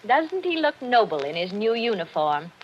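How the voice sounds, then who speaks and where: An actress playing a nervous, weary middle-aged wife who speaks in a brittle way in an old radio drama. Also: female voice, mid-range voice, big pitch swings, moderate pace, natural voice. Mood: strained. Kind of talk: 1940s radio acting. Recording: dull old radio recording with faint hiss.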